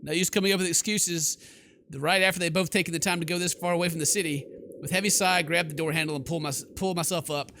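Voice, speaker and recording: A man's voice: fairly high pitch, fairly even intonation, fast talker, sharp tone, slight background noise. A man doing jokey, bro-ish game commentary and expressively reading story text aloud as a let's player.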